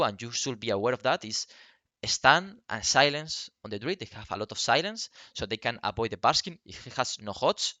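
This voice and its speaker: A man coaches through game strategy in non-native English. His voice is bright and forward, recorded very dry. He explains step by step in a halting, unscripted way, often checking that the listener follows. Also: fairly low pitch, no echo. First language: not English.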